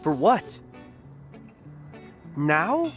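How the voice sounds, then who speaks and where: A nervous, lovestruck young recruit in an audio drama. The delivery is hesitant and wry with very animated pitch, over faint music. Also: female voice, very slow, heavily acted.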